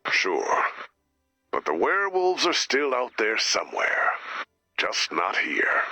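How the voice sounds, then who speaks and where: A man voicing a slick, pompous corporate director spouting business jargon in a video game, gravelly and husky. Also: medium pitch, huge pitch swings, slow pace, pushed and bright, no echo, full character voice. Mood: matter-of-fact. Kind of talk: video game character voice lines.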